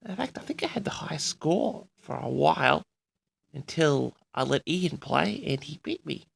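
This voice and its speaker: A man in his sixties from Australia hosting a personal audio log, reminiscing in a rambling, halting and boastful way straight to his listeners. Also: a little high, expressive, gravelly, slightly rough. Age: 60s. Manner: boastful, halting, often addresses the audience.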